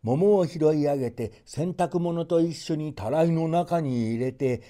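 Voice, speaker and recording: A man narrating a folktale expressively, with measured storybook phrasing and playful emphasis. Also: a little high, mellow.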